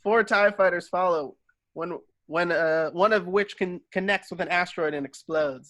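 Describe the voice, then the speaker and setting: Male narrator for a script read-through, slightly high voice, reading scene directions. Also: fairly bright tone.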